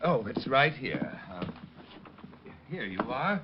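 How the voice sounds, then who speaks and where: Fussy male manager, hesitant and over-polite, swooping pitch, old radio detective show, muffled recording. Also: sing-song, slow pace, noisy background. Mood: flustered. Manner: hesitant, stammering, prissily polite. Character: fussy, flustered male office manager of a messenger service. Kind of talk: 1940s-50s radio detective drama acting.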